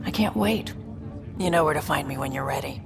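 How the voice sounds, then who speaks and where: An actress playing a tough, wry woman colonist in a video game, dry and teasing with a quiet fondness. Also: sits low, fairly quick, vocal fry. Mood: fond, hopeful. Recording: long reverb with music.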